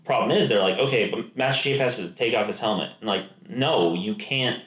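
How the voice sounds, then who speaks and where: A man co-hosting a casual podcast, talking at an ordinary pitch in a roomy, echoing space. He rambles, restarts his sentences and slips into quoted asides as he makes his points. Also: fairly quick, a bit bright. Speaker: podcast co-host. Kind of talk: casual chat. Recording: echoey room.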